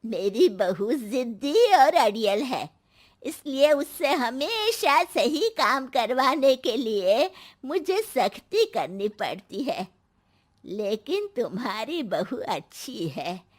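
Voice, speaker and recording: A woman voicing a stern, sharp-tongued old grandmother who scolds emphatically in a cartoon story. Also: a little high, sing-song, full character voice. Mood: stern advice. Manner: scolding, drawn-out, emphatic delivery. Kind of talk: animated moral story dialogue.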